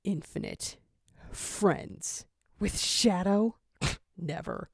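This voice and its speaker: A woman's voice: mid-range voice, drawn-out words, vocal fry, husky, no echo, studio quality, full character voice. Woman voicing a gloomy, weary male jackal. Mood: sullen, incredulous. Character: frail, bitter, sleep-deprived young male jackal.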